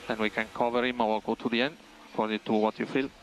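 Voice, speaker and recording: A man's voice: mid-range voice, flat delivery, fast talker, a little hiss or hum, low-quality recording. A man working as a race engineer, giving clipped, factual updates over team radio and repeating key phrases for clarity. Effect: team radio transmission.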